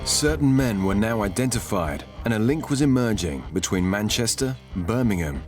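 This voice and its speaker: Low-pitched male documentary narrator over music. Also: lively intonation, moderate pace. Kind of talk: scripted narration.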